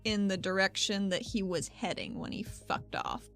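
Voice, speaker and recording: A woman's voice: medium pitch, huge pitch swings, moderate pace, vocal fry, fairly bright tone, soft background music. A woman playing in a tabletop roleplaying game, chatting in a wry, informal way. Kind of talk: casual roleplay chat.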